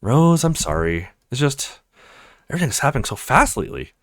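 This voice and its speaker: A man's voice: medium pitch, very animated, fairly quick, a bit bright, dry recording, clean studio sound. A man voicing an easygoing, protective young man in a visual novel, casual and reassuring. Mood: apologetic.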